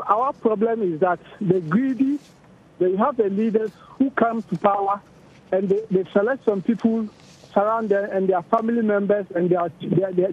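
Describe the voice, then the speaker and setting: Male phone-in caller, high voice, halting but fervent, repeats himself, dull telephone audio. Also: husky. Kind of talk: impassioned opinion on a talk show call.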